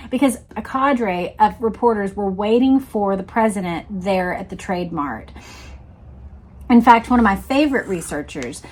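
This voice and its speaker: A woman reading a book aloud and breaking off to explain and point things out to the viewer. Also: mid-range voice. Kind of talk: read-aloud narration with explanatory commentary.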